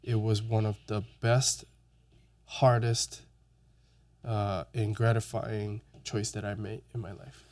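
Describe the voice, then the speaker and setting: A man's voice: lowish voice, flat delivery, slow, a little mellow. A young man raised in Japan, co-hosting a podcast and speaking reflectively with many fillers. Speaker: podcast co-host. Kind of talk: casual podcast conversation.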